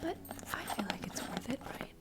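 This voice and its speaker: A woman playing a nurturing, gossipy vampire bartender in an ASMR fantasy roleplay, speaking in a soft, breathy, confiding whisper. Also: mid-range voice, big pitch swings, a little fast, very noisy, close to an everyday voice. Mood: gossipy, wistful. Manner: intimate, confiding, gentle.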